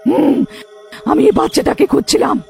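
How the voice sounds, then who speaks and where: A woman voicing a menacing cartoon witch who hunts babies, gloating with drawn-out hums. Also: high voice, lively intonation, gravelly, a little mellow, faint music behind, exaggerated voice. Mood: scheming. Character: menacing female witch hunting newborn babies. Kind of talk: cartoon villain acting.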